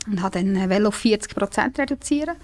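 A woman's voice: mid-range voice, lively intonation, quick pace, darkish tone. A woman in her thirties with a Lucerne hinterland Swiss German accent, talking casually as a guest, chatty with frequent fillers and laughs. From Switzerland. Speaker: singer-songwriter guest. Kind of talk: casual conversation.

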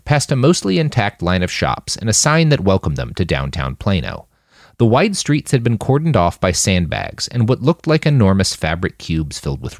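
A man's voice: lowish voice, dry recording, clean studio sound. A man reading scripted fiction as an audiobook narrator. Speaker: author narrating his novel.

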